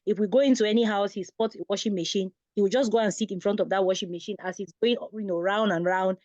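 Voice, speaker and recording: A woman's voice: mid-range voice, steady tone, fast talker, darkish tone, no room sound, muffled recording. A woman, a guest parent on a talk show, telling personal stories in a conversational way.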